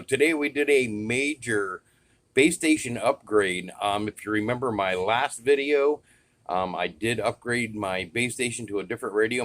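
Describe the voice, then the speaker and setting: An American man speaks in a mid-range voice. He has a folksy, chatty manner and talks straight to his viewers in an easygoing vlog style, as a radio hobbyist would. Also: medium pitch. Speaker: YouTube vlogger, CB radio hobbyist. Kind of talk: casual vlog talk to camera.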